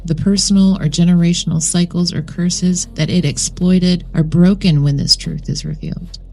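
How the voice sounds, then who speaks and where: A woman reading a scripted guided meditation in a solemn, measured way, with deliberate phrasing. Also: on the low side, steady tone, creaky, darkish tone, a little hiss or hum. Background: background music.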